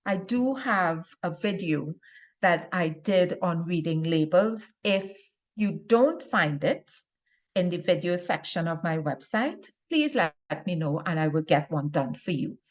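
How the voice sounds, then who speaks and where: A woman with a Trinidadian accent speaks at a slow pace in a slightly low voice. She presents health advice informally, straight to her viewers, in a deliberate way that leans on key words for emphasis. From Trinidad and Tobago. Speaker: health and wellness video presenter. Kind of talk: informal instructional talk to viewers.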